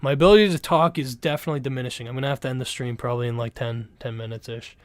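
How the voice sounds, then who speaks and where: A young man from Canada chats loosely with his live stream audience, swearing freely and restarting his sentences. His voice is smooth and clear at a middling pitch, recorded close and dry with no room sound. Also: racing, dry recording. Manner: rambling, profane, laid-back, full of restarts. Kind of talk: casual live-stream chat.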